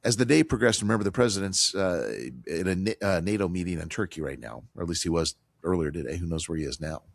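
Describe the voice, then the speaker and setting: Male talk radio host, hoarse with a cold, low voice, dry studio sound, emphatic conversational delivery. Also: fast, no echo. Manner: emphatic, repetitive, conversational with fillers. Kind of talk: financial talk radio monologue.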